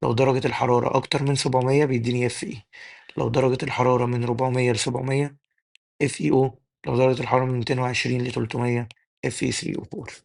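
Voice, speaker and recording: A young man with an Egyptian Arabic accent tutoring exam chemistry, his voice worn and going hoarse, firing questions at the class and answering them himself. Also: mid-range voice, flat delivery, darkish tone. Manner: rapid drilling, asks and answers his own questions. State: losing his voice. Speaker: chemistry teacher. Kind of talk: exam-prep tutoring lesson.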